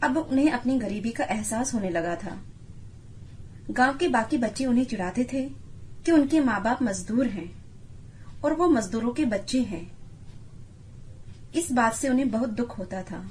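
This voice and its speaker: Female storyteller, higher register, scripted moral-tale narration, faint ambient noise behind. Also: expressive, moderate pace, long pauses, a little mellow.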